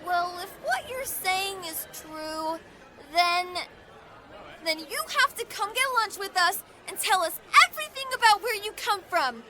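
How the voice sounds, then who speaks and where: Woman as a very high, bubbly young girl. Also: animated, unhurried, crowd noise, background noise. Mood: eager, curious. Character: eager, friendly girl with fire magic. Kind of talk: audio drama acting.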